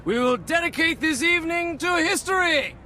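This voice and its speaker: A man playing a grandiose, reckless party reveler in a video game, loudly declaiming. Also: very high voice, expressive, moderate pace, slight background noise, full character voice. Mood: jubilant. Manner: loud declaiming. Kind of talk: video game dramatic acting.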